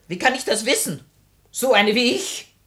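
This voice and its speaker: A woman's voice: a little high, lively intonation, normal speed, a bit bright, breathy edge. An actress playing an anxious, humble wife and mother in a radio play, emotional and motherly. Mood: hurt, evasive.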